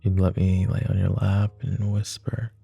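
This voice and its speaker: A man voicing a lovesick, possessive young mafia boss in an ASMR roleplay, speaking softly and intimately, often close to a whisper. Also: low-pitched, monotone, unhurried, vocal fry, soft background music, heavily acted. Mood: tender, yearning. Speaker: voice actor.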